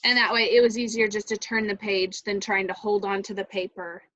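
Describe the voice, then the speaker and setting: A woman's voice: medium pitch, steady tone, fairly quick, a bit bright, low-quality recording. A woman chatting informally on a video call, peppering her sentences with filler words. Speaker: rehearsal co-organizer. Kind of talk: casual chat.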